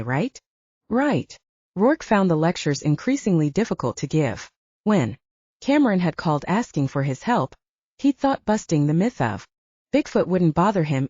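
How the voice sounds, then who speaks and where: A woman's voice: fairly low pitch, warm tone, dry recording. A woman reading a scripted story as an audiobook narrator, in slightly dull audio.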